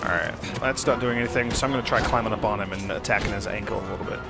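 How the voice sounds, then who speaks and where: A man's voice: on the low side, rapid-fire, vocal fry, loud music behind the voice. A man giving casual, understated and wry commentary as he plays through a video game. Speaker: let's play host. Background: game soundtrack.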